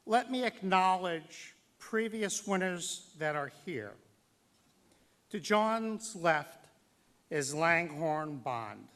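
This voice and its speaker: A man giving a formal tribute speech as master of ceremonies at a banquet, speaking in a deliberate, emphatic way with dramatic pauses. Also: fairly high pitch, expressive, fairly bright tone.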